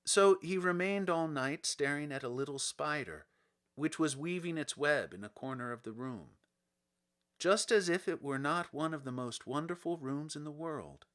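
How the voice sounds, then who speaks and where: Male audiobook reader for a classic children's tale: slightly high register, bright, forward tone. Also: animated, moderate pace. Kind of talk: scripted storybook narration.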